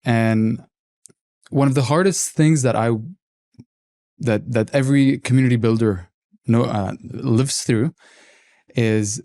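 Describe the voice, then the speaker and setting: Mellow-voiced young man from Lebanon. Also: mid-range voice, slow. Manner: reflective storyteller, relaxed and candid. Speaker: podcast guest, entrepreneur. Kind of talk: casual interview talk.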